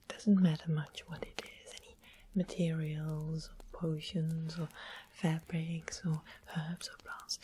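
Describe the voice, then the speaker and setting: Casting: woman as royal witch for fantasy ASMR, whispered throughout, coaxing and sly, low-pitched, with noticeable hiss. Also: lightly acted. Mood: coaxing, bargaining. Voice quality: soft breathy whisper. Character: sweetly sly royal witch trading rare magical items. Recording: clearly noisy close whispered recording.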